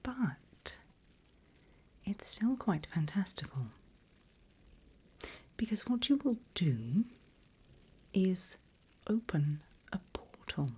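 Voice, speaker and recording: A woman voicing a seasoned, smug and teasing demon mentor in a breathy, theatrical ASMR whisper. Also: mid-range voice, sing-song, a little mellow, full character voice. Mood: enticing. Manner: slow, drawn-out, theatrical.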